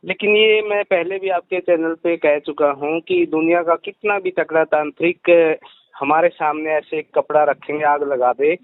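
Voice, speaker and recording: A man's voice: a little high, steady tone, moderate pace, no echo. A man giving explanations in a phone interview as an investigator, emphatic and repeating his phrases. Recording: tinny, dry phone-call line.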